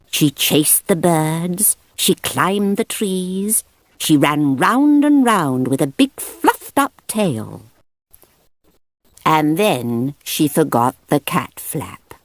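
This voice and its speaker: A woman narrating a children's picture book aloud in an expressive storytelling manner. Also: sits low, no room sound.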